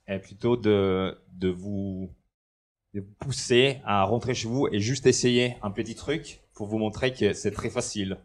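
Brief: man, 30s, lowish voice, easygoing hesitant conference presenter on a headset mic in a big hall. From Italy. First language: Italian. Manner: relaxed and joking, with many hesitations. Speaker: conference speaker. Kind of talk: presenting a technical talk. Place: large indoor conference hall, on stage.

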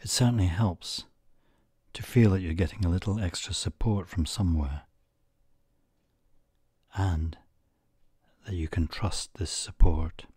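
A man leads a guided meditation in a deep, mellow voice. His delivery is very unhurried and soothing, in short measured phrases with pauses. The tone is soft and breathy, often fading toward a whisper. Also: no room sound, clean studio sound.

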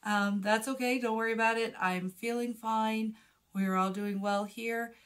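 A woman in her forties talks in a chatty, friendly way, her voice a touch hoarse and husky from a recent illness. She is in a small indoor room, speaking close to a phone camera mic. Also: a little high, fairly even intonation, measured pace. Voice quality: a little husky. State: slightly hoarse after illness. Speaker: vlogger host. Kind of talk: casual shopping haul chat. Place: small indoor room in front of a plain wall. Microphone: phone camera with a clip or shotgun mic at close range.

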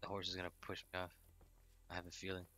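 A man's voice: sits low, completely flat delivery, sharp tone, no room sound. A man on a headset chatting with a friend while playing an online game, joking around and trash-talking the enemy players. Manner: fast, jokey trash talk. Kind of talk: casual gaming chat.

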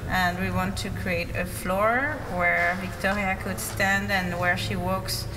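A woman's voice: fairly low pitch, steady tone, slow pace, big-room sound, distant mic, a loud crowd, rough recording. A woman designer explaining a projection project, matter-of-fact. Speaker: visual designer.

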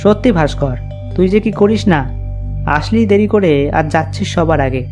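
A man's voice: high voice, animated, moderate pace, darkish tone, faint music behind. Man acting a wry, teasing young friend. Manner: casual, ribbing.

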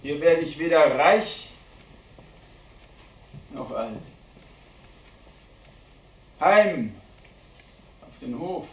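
A man giving a live dramatic poetry reading at a literary event, declaiming deliberately with strong shifts between hushed and loud delivery. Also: fairly high pitch, expressive. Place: indoor literary event venue at a theatre. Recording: roomy live hall recording, distant mic, faint noise.

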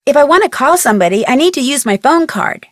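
A young female sleuth from a mystery video game, curious and polite. Her voice sits on the high side and moves with animated intonation. The sound is dry and close. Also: fast talker, no echo. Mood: matter-of-fact. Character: inquisitive, polite young female amateur detective.

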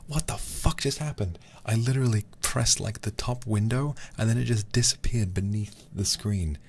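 A man streaming a horror game in a soft, intimate ASMR style close to the microphone, muttering and sometimes whispering. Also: lowish voice, normal speed, slight background noise. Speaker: livestreamer playing a horror game.

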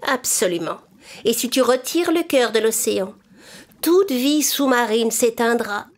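A woman's voice: fairly high pitch, lively intonation, measured pace. A woman voicing a solemn ancient ocean spirit in a cartoon, speaking in grave warnings. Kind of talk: cartoon voice acting.

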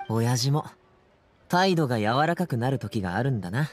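Earnest bashful teenage son, boyish, casual, hesitant, lively intonation, anime game dialogue. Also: male voice, medium pitch, normal speed, soft background music.

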